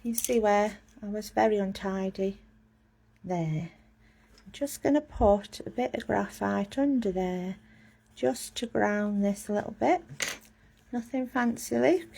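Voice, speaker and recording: A woman's voice: mid-range voice, a little slow, creaky, warm tone, slightly rough. A woman giving a casual live drawing lesson, chatty and self-mocking as she talks through each step while drawing. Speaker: drawing teacher.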